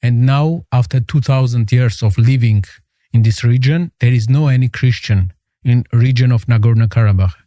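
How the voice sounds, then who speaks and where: Armenian-accented man, halting and earnest. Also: medium pitch, dark tone, dry recording, studio quality. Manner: earnest, halting, searching for words. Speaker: guest. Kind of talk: interview answers.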